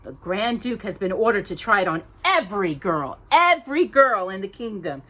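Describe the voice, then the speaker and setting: A woman voicing a stern, scheming, commanding older stepmother in a storybook, with deliberate, imperious delivery. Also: mid-range voice, sing-song, bright, forceful tone. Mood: sharp, urgent.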